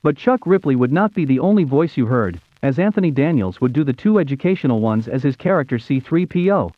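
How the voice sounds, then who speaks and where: A man's voice: medium pitch, animated, brisk. An American man in his fifties narrating nostalgically in a scripted storybook style. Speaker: YouTube show host. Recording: tinny phone-like narrow-band sound.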